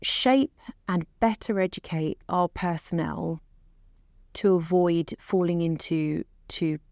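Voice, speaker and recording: A woman interviewing a guest, putting her questions together deliberately, piece by piece. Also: on the low side, vocal fry, a little mellow, no room sound, studio quality. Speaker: interviewer, military officer.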